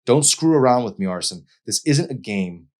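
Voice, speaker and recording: A man's voice: medium pitch, animated, normal speed, darkish tone, pitched above the performer's own voice, natural voice. A man voicing an angry, hurt young woman in an audiobook, demanding and confrontational. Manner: indignant, confrontational.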